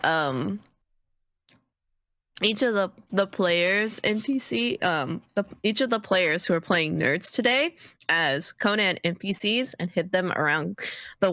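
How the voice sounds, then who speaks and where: A woman's voice: lowish voice, a bit bright, no room sound. Congested giggly woman streamer. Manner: giggly, rambling. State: congested, phlegmy. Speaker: player and streamer. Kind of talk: casual livestream chat.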